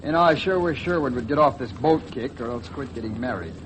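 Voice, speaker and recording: Actor as a sardonic hungover American grumbler, noisy recording. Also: male voice, medium pitch, lively intonation, a little fast, natural voice. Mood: hungover, grumbling. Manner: wry, grumbling. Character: sardonic, hungover man grumbling over coffee. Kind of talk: 1950s American radio drama acting. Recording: noisy vintage 1950s radio recording.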